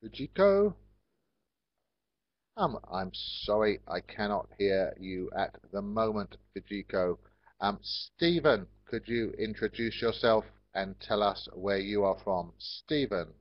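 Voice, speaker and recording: Slow, low-pitched English teacher, dull audio. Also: male voice, expressive, dry recording. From England. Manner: measured, simply worded, polite, encouraging. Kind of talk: online English class, addressing learners. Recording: dull, lo-fi online call audio.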